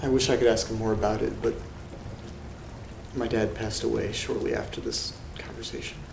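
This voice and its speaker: A man hosting a horror audio drama, reading letters aloud in a quiet, flat, gravelly way. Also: fairly low pitch, moderate pace. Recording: roomy echo with loud rain noise. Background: rain and thunder.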